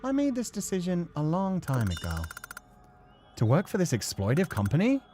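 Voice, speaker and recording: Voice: mid-range voice, wildly swooping pitch, moderate pace, warm tone, faint music behind, pitched above the performer's own voice. A performer reading a reserved, weary senior male office worker in a visual novel. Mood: reserved, resigned. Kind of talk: visual novel character read-aloud.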